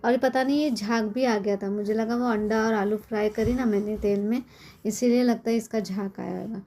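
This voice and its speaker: Middle-aged woman, hoarse from a cold, highish mellow voice, chatty kitchen cooking talk. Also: fairly even intonation, moderate pace. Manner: chatty, explains step by step with frequent tag questions. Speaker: cooking channel host. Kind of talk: casual cooking tutorial. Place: home kitchen at the stove.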